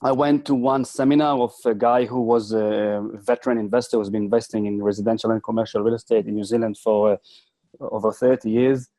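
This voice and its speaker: A middle-aged man from Israel, telling his story as a podcast guest, often pausing and restarting. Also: lowish voice, level tone, mellow, no echo. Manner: hesitant, frequent restarts and fillers. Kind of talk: casual interview storytelling.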